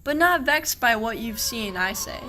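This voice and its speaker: A woman's voice: on the high side, animated, normal speed, sharp tone, soft background music. A woman playing a kindly, devout medieval shepherd, declaiming rhymed verse in a radio play. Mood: soothing.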